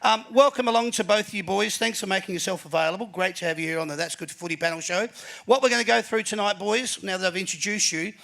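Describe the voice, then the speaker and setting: An Australian man hosting a live panel show before an audience, energetic and announcer-like, with plenty of fillers. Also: high voice, fast, gravelly. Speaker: host.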